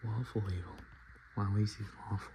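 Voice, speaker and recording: A man speaking softly and in whispers, chatting casually as an ASMR host with an unhurried, self-deprecating manner. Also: fairly low pitch, fairly even intonation, noisy background. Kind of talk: soft-spoken and whispered ASMR, casual chat.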